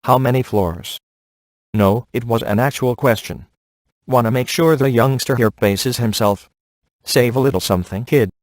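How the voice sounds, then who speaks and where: An actor playing a sardonic, laid-back male ghost hunter in a video game, delivering wry scripted quips in a casual deadpan manner. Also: mid-range voice, moderate pace, dry recording, studio quality, natural voice. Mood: dry, sardonic. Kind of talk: scripted game dialogue, dry wisecracks.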